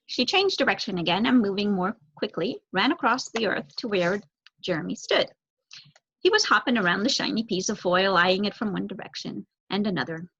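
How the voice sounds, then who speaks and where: A young adult woman reading a children's book aloud as an expressive narrator. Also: medium pitch, slightly rough, lo-fi sound. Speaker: story reader.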